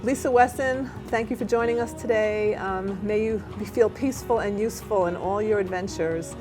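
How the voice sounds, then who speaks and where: A woman hosting a local TV talk show, chatty and animated, often tripping over her words. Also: mid-range voice, moderate pace, music in the background. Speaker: talk show host and therapist. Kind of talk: casual local TV interview chat. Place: local cable TV studio.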